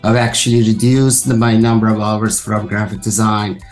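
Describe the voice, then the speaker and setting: A man in his sixties from Iran, a guest artist speaking thoughtfully with pauses and fillers over a video call. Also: fairly low pitch, level tone, soft background music. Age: 60s. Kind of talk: casual interview talk.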